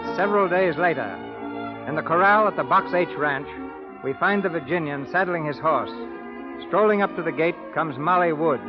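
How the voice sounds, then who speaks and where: A man's voice: a little high, normal speed. A man hosting and narrating a 1930s radio drama in a formal announcing style. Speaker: host and narrator. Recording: muffled old radio broadcast with loud music.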